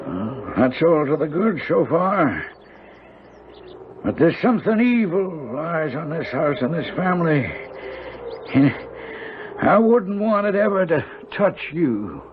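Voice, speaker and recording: An actor playing a protective, gloomy stable hand in a radio drama, speaking in a grave, cautioning way. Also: male voice, fairly high pitch, big pitch swings, slow, pauses often. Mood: concerned, foreboding. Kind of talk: 1970s radio drama acting. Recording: tinny, noisy old radio recording.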